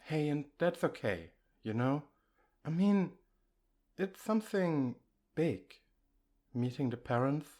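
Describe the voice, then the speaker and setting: Man playing a slow-talking, gently reassuring boyfriend. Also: a little high, expressive. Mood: gently concerned. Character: affectionate, reassuring boyfriend comforting a nervous partner. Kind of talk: intimate ASMR comfort roleplay.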